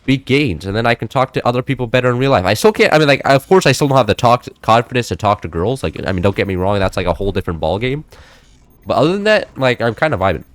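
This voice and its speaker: A young man in his late teens chatting loosely while playing a game, rambling with many fillers and restarts, his voice a little high for a man. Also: big pitch swings, fast talker, no room sound. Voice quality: slightly high-pitched for a man. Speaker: gameplay streamer. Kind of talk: casual chat while playing.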